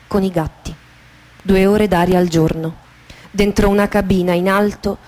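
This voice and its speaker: Woman reading a measured dramatic monologue. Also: on the low side, mellow. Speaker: actress narrator. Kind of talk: dramatic first-person literary monologue for radio theatre. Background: guitar music.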